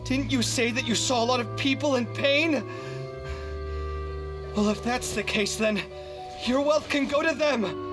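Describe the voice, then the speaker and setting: An actor playing an idealistic, proud young scholar in a video game, speaking with earnest, dramatic emotion over music. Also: male voice, extremely high pitch, measured pace, pauses often. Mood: anguished, defiant. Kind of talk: English video game voice acting. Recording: background music under the voice.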